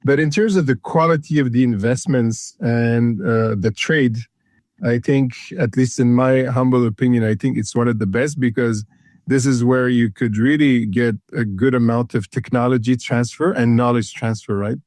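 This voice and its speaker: A man's voice: mid-range voice, fairly even intonation, moderate pace, a little mellow, dry recording, studio quality. A man from Ethiopia, a consulting firm founder, talking in an interview, hesitant and full of fillers and repeated words. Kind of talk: conversational interview.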